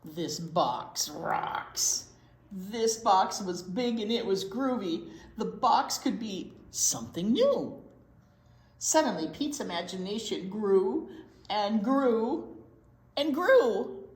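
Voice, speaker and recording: Female children's storytime reader, slow and highly expressive, stretches key words, roomy echo. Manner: expressive, drawn-out key words, prompting questions to small children. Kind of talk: animated picture book read-aloud for young children. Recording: roomy, echoey indoor sound.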